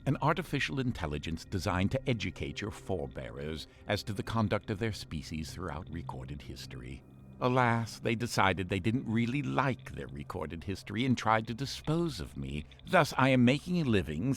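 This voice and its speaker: Snooty game ai, kinda low voice, super animated, sarcastic and condescending, theatrical. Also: male voice, a little hiss or hum, exaggerated voice. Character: condescending sardonic male shipboard artificial intelligence, pompous, contemptuous. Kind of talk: scripted game voice pack acting.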